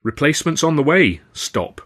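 Audiobook role: matter-of-fact tour manager, voiced by a man, brisk, apologetic, raised pitch. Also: sing-song, fairly bright tone. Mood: official. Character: matter-of-fact male theatre company manager.

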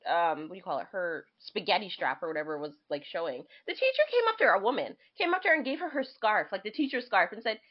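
A young woman co-hosting a podcast in casual chat, animated and sarcastic with mock indignation. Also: mid-range voice, fast talker, hardly any pauses, dull audio. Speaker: podcast co-host.

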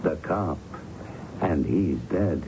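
A man's voice: a bass voice, expressive, slow pace, heavily acted. A man playing a smug, menacing mobster in a radio drama, talking in slangy, needling phrases with questions tacked on. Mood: smug menace. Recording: muffled noisy old radio broadcast.

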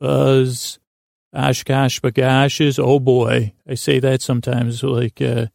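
Creaky-voiced man rambling haltingly. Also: medium pitch, little pitch movement, darkish tone, dry recording, clean studio sound. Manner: halting, digressive, with long pauses and repeated words; silly, absent-minded. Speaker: podcast host. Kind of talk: rambling whimsical monologue meant to distract listeners.

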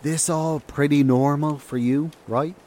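A man's voice: a little high, fairly even intonation, a little slow, darkish tone, slight background noise, natural voice. A man acting an easygoing scientist in an audio drama, talking casually and without hurry. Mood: tense, curious. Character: guarded, easygoing male scientist with sharp ears.

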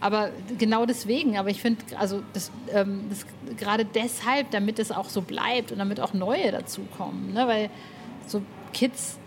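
A woman speaks as a podcast interviewer in a casual, conversational style. Her voice sits at a medium pitch and she talks informally and with enthusiasm, with slight noise behind her. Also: fairly even intonation, moderate pace.

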